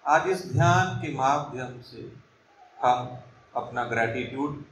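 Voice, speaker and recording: Male meditation guide, very unhurried, reverent and soothing; roomy, echoing sound with some background noise. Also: medium pitch, recorded from a distance. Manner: reverent, soothing, deliberate with long pauses. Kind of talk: guided meditation in Hindi, soft instruction.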